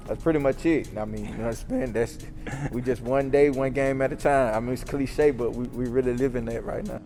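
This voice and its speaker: A man's voice: medium pitch, a little fast, mellow. Coach guy talking team stuff, straightforward, music going behind him. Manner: plain-spoken, uses coaching cliches, teasing. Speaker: basketball coach. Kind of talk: casual interview. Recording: clear music in background.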